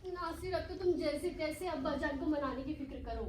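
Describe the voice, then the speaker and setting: An actress playing an anxious, lovestruck young daughter in a stage play, with earnest, formal theatrical phrasing. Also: female voice, sits high, fairly quick, close to an everyday voice. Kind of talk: Hindi-Urdu stage play acting. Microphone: far from the actors. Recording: echoey hall, distant mic, faint noise.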